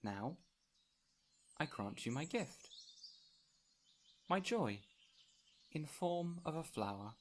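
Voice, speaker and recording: A man playing a gentle, whimsical fey spirit in an audio roleplay, speaking in a theatrical, formal, old-fashioned way straight to the listener. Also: on the high side, sing-song, takes it slowly, pauses often. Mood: gently explaining. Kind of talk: intimate audio roleplay, addressing the listener directly. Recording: clear ambient noise with birdsong. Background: birdsong and forest ambience.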